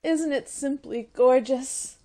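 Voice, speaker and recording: A woman's voice: sits high, slow, warm tone. A woman playing an eager, naive young college girl, chirpy and gushing, in old-time radio comedy style. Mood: excited, gushing.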